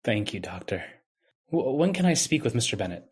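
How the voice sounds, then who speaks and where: A man's voice: medium pitch, sing-song, fairly quick, breathy edge. An earnest young doctor played by a man in a radio play. His voice is mid-range and subdued, deferential, with guilt underneath. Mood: guilty, deferential. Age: young adult. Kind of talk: old-time radio drama acting.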